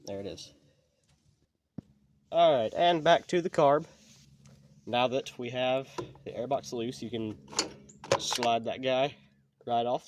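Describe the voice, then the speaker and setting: Sniffly DIY host, folksy and plainspoken, recorded on a camera mic with audible noise behind. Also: male voice, mid-range voice. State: sniffly from allergies. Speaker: channel host. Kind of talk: casual how-to repair walkthrough.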